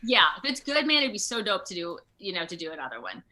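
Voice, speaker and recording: A woman giving a casual interview as a guest actor, expressive and giggly, acting out her anecdotes dramatically. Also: medium pitch, fast, crowd noise. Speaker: interview guest, video game voice and motion capture actor. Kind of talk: casual animated interview chat.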